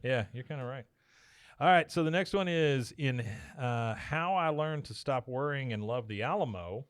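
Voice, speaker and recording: A man's voice: mid-range voice, expressive, normal speed, no echo, clean studio sound. A man co-hosting a podcast in casual, enthusiastic banter, with some salty language. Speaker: podcast co-host.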